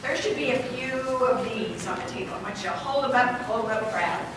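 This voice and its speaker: A woman's voice: on the high side, brisk. A woman moderating an evening panel in a hotel event room, joking and laughing often. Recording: echoey, noisy room from far off.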